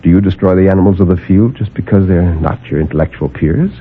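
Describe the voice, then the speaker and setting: An actor playing a calm, superior superhuman guardian, speaking with measured, lofty condescension in a 1950s radio drama. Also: male voice, low voice, slight background noise, natural voice. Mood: calm, condescending. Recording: narrowband old AM radio recording.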